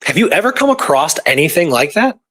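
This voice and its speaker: Higher-voiced man casually discussing history. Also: big pitch swings, fairly quick, fairly bright tone. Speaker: guest history researcher.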